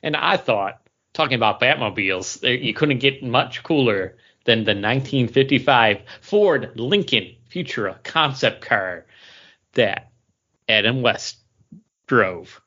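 A man co-hosting a podcast, chatting casually about pop culture in a rambling, halting way that often trails off. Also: mid-range voice, animated, pushed and bright, lo-fi sound. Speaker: podcast co-host.

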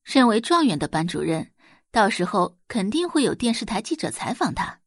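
Animated woman narrating an audiobook. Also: mid-range voice, moderate pace, husky, no echo, clean studio sound. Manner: expressive, dramatised storytelling. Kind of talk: scripted audiobook narration of a web novel.

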